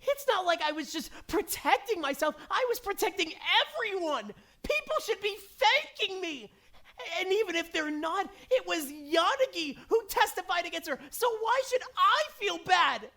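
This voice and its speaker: A woman voicing a distraught, defensive teenage boy in an animated drama, stammering and emotionally raw. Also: extremely high pitch, normal speed, heavily acted. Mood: upset, indignant. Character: distraught, defensive teenage boy, guilt-ridden and foul-mouthed. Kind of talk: dramatic voice acting in a fan-made animation.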